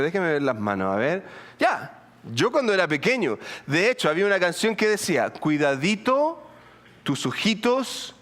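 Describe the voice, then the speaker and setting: Lively Colombian man preaching. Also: sits high. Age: middle-aged. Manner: animated, humorous, many restarts and asides to the congregation. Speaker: pastor. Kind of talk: sermon with storytelling.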